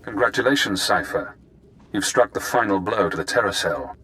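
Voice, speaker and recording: An actor playing a sinister, calculating engineered prisoner in a sci-fi video game, cool and measured. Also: male voice, fairly low pitch, lively intonation, sharp tone. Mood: sly, calculating.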